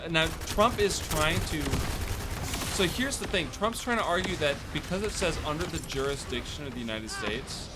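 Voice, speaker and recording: A man's voice: a little high, expressive, normal speed. A man in casual conversation, opinionated and argumentative, swearing freely. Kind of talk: casual chat. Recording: very noisy, game gunfire behind. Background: video game gunfire.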